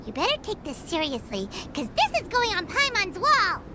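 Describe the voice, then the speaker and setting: A woman voicing a tiny, bossy, excitable fairy companion in a video game, with a squeaky childlike voice and a dramatic manner. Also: moderate pace, heavy background noise, exaggerated voice. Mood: indignant. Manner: refers to self in third person, exclamatory, bossy. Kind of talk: animated game voice acting. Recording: prominent background music.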